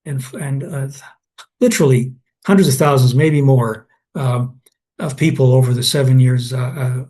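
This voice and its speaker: A man's voice: mid-range voice, normal speed, dark tone. An older man from Canada in an oral history interview, telling anecdotes with many restarts and fillers.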